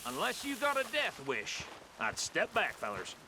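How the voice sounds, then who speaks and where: A man's voice: a little high, wildly swooping pitch, normal speed, noisy background. An actor playing a greedy, pushy outlaw gunman in a western video game, needling and cocky. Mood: cocky warning. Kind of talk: dramatic video game cutscene acting. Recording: loud music and game effects behind.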